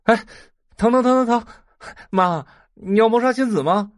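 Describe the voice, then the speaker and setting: A man's voice: very high voice, moderate pace, no echo, studio quality. An actor playing an eager, gossipy young rich man, a loyal sidekick, in a Chinese audio drama. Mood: pained, whining.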